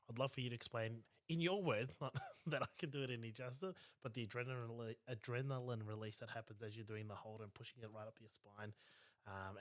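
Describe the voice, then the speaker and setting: A New man of Māori background co-hosting a podcast, talking in a rambling, animated way with many fillers. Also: medium pitch, no room sound. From New Zealand. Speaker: podcast co-host. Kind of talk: casual podcast conversation.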